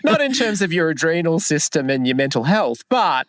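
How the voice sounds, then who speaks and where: A man's voice: a little high, very animated, moderate pace, fairly bright tone, no echo. A man co-hosting a podcast, playful and self-mocking, recording from a studio booth onto a laptop setup. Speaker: podcast co-host. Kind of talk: casual podcast chat.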